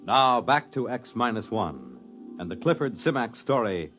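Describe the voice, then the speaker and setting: A man's voice: medium pitch, very animated, a little slow. A man announcing a science-fiction radio series in a 1950s broadcast style. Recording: old radio recording with loud music.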